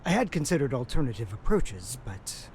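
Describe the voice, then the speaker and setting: A man's voice: mid-range voice, very animated, moderate pace, darkish tone. An actor playing a polite, composed man in an anime game, formal and courteous, over vehicle noise. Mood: mild, apologetic. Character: polite, mild-mannered, composed man, a hitchhiking passenger. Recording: clear vehicle noise behind.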